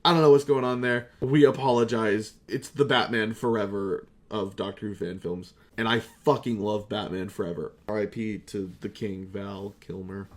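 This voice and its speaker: A young man rambling casually as a video creator, self-deprecating, excitable and quick to swear. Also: on the low side. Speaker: video creator, amateur voice actor. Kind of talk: casual rambling retrospective vlog.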